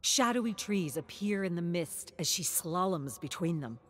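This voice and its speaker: A woman narrating a scripted dramatic story with theatrical, suspenseful and deliberate phrasing. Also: fairly low pitch, animated, fairly bright tone.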